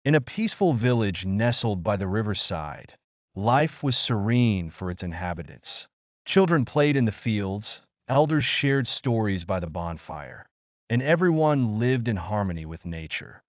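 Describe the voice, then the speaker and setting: A man narrating a scripted children's story in a measured, earnest storybook manner. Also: on the low side, big pitch swings, no room sound.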